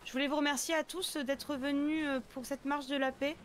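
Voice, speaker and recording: A woman playing an earnest young march leader in a roleplay game, speaking haltingly. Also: high-pitched, steady tone, close to an everyday voice. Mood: earnest, solemn. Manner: hesitant, halting address. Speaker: online roleplay player. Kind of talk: improvised in-character speech. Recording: noisy game ambience behind.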